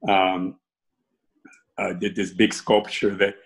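Low-voiced middle-aged man, rambling. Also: flat delivery, takes it slowly, creaky. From Cuba. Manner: rambling, many restarts and fillers. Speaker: artist being interviewed. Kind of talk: casual interview conversation.

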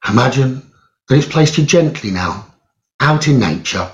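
A man leading a guided meditation in a soothing, measured way, leaving long pauses between phrases. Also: mid-range voice, animated. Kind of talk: slow guided meditation narration. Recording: roomy echo, dull lo-fi sound.

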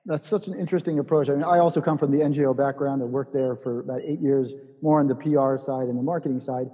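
A man hosting a podcast interview, speaking in long, drawn-out sentences. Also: medium pitch, fairly even intonation, warm tone, slightly rough. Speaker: podcast host. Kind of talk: casual interview talk. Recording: long reverb.

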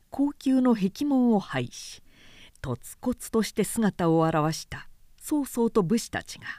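A woman narrator giving a measured, dramatic reading of scripted historical literature. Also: medium pitch, dark tone, no echo, clean studio sound.

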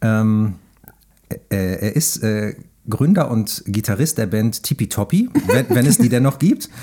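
A man's voice: fairly low pitch, very animated, a little slow, dark tone. A man hosting a podcast, casually putting questions to a guest in conversation. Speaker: podcast host, interviewer. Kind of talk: casual chat, quizzing a guest.

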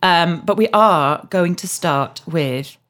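A woman's voice: on the low side, takes it slowly, fairly bright tone. Frank, chatty woman. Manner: frank, chatty, self-mocking. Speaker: podcast co-host. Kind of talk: casual chat.

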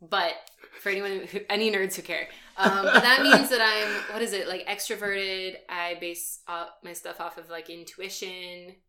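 A woman's voice: mid-range voice, fairly even intonation, moderate pace, over a noisy crowd. A woman in her twenties co-hosting a podcast, telling stories in a chatty way with lots of fillers. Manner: chatty storyteller, many fillers and laughs. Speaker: podcast co-host. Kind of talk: casual chat.

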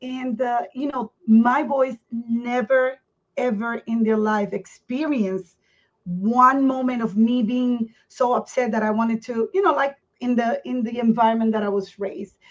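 A woman's voice: on the high side, steady tone, highs cut off. Earnest woman in her fifties. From Puerto Rico. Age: 50s. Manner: candid, earnest, speaks in halting restarts. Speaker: guest. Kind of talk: personal life story in a podcast interview.

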